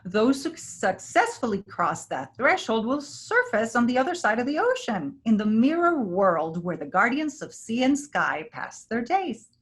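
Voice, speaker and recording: A woman's voice: fairly high pitch, big pitch swings, measured pace, few pauses. A woman playing a breezy, self-assured village elder in a staged play reading, dispensing prophecy like a storyteller. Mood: breezy, blithe.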